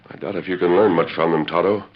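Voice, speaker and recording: A man playing a resolute masked western hero, firm and measured, in a 1940s radio drama. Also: low-pitched, steady tone. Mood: thoughtful. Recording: muffled old radio recording.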